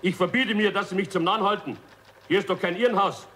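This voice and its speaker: A gruff police sergeant in a children's audio drama. His voice is high for a man, and he speaks brusquely, officious and easily affronted. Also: quick pace, bright, forceful tone. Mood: indignant. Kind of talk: children's radio play.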